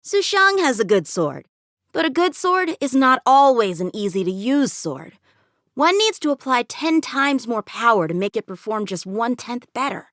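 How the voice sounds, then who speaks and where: A woman's voice: a little high, very animated, normal speed, a bit bright, no echo, studio quality. A woman voicing an eager, confident teenage boy swordsman in a video game, with an earnest, cocky, youthful manner. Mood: earnest, thoughtful. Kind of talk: video game character voice lines.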